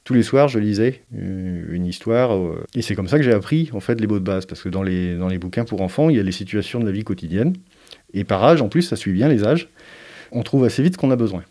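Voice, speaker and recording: Low, mellow-voiced thoughtful man. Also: lively intonation, fairly quick. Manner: hesitant, thoughtful, frequent fillers. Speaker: interviewee, parent. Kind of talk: reflective interview testimony.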